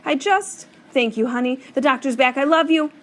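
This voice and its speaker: A woman's voice: high voice, normal speed, voice pushed up, natural voice. A woman reading an audiobook, voicing a busy, loving mother who sounds breathless and tender on the phone.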